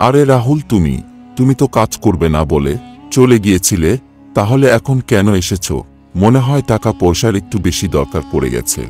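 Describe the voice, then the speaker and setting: Guy doing a stingy bossy cartoon boss, really deep voice, talks down to people, music behind. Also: very animated, normal speed. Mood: mocking surprise. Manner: condescending, bossy. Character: stingy, scornful male village contractor boss. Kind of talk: cartoon story voice acting.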